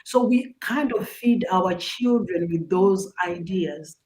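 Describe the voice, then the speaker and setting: Slow-spoken middle-aged Zimbabwean woman. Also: fairly low pitch, a little mellow. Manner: earnest, halting, repeats words as she searches for phrasing. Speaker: talk show guest. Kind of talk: personal advice in a talk show interview.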